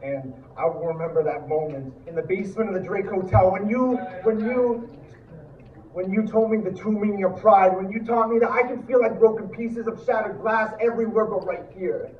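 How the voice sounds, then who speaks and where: A young man performs slam poetry on stage in a fairly high voice, with earnest, dramatic phrasing. He sounds distant from the microphone in an echoing room. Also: normal speed. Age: young adult. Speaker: slam poet. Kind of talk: spoken word poetry performance. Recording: echoey room, distant muffled mic, noisy.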